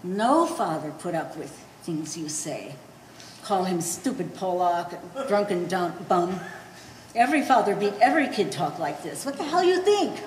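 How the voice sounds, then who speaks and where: In a reverberant hall with faint noise, a woman acts out an old immigrant mother in a stage play. She is shrewd and quarrelsome, arguing in blunt broken English with a lively, swooping delivery. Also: fairly low pitch, a little slow. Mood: scornful. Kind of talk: live stage play acting. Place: art gallery stage reading.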